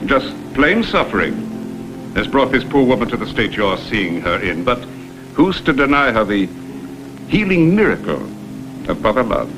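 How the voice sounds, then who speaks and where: An actor playing a smooth con man with a formal, unctuous, mock-solemn way of talking in a vintage crime drama. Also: mid-range voice, big pitch swings, moderate pace, low-quality recording. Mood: mock pleading. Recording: music under dialogue, vintage soundtrack.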